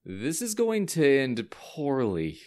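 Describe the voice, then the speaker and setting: Wry, gloomy teen boy acted by an audiobook narrator: very slow, emphatic, swinging widely in pitch. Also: very animated. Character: drunk, wry, gloomy teenage boy, loyal friend.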